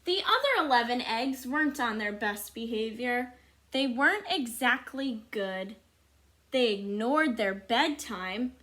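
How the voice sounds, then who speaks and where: Woman reading as an earnest storybook egg, very deliberate. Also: fairly high pitch, fairly bright tone. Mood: exasperated. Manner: earnest, dramatic, drawn-out emphasis. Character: dutiful, overburdened male good egg telling his story.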